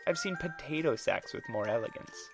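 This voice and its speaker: A woman's voice: extremely low pitch, big pitch swings, normal speed, over music, voice pushed up, heavily acted. A storyteller voicing a haughty, venomous young noblewoman who mocks her rival. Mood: sneering. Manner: sneering, mocking.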